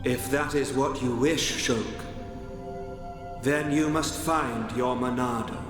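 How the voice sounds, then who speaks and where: A man playing a mysterious all-knowing guide in a game vision, calm, measured and cryptic. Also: mid-range voice, close to an everyday voice. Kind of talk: dramatic video game cutscene acting. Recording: echoing long reverb, music behind.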